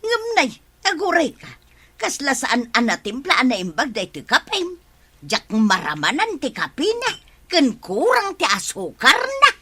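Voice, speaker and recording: A woman's voice: high voice, huge pitch swings, measured pace, pushed and bright, husky, full character voice. A woman playing a shameless, fault-finding neighbour who mooches meals in an Ilocano comedy radio drama, speaking with an Ilocano accent in a brash, exclamatory way. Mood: complaining.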